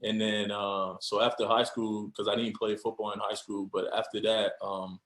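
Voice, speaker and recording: A laid-back young man from Cameroon talks in a low, flat voice, circling back with fillers as he answers. His voice sits in a roomy, echoing space. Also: normal speed. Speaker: guest, football player. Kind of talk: casual interview. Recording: roomy echo.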